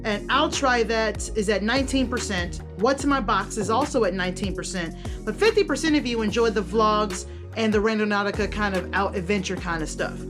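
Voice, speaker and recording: A woman's voice: mid-range voice, brisk, music in the background. A woman chatting casually to her viewers as a vlogger, candid, self-deprecating and rambling, with slangy language and casual swearing. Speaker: video blogger and content creator. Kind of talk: casual vlog chat to viewers.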